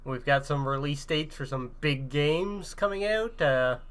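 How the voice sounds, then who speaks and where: A man's voice: fairly high pitch, lively intonation, measured pace, fairly bright tone. A man hosting a podcast in a casual, chatty style. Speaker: podcast host.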